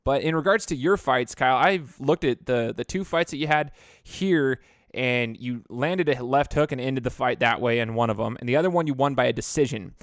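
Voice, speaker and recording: Chatty podcast host, dry close mic. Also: male voice, medium pitch, normal speed, no echo. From Idaho. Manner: chatty, rambling with restarts. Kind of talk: casual interview.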